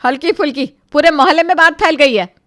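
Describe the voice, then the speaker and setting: A woman voicing a middle-aged mother-in-law, motherly but quick to scold, in a family story. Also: high voice, bright, forceful tone, dry recording, clean studio sound, voice pushed up. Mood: angry, scolding.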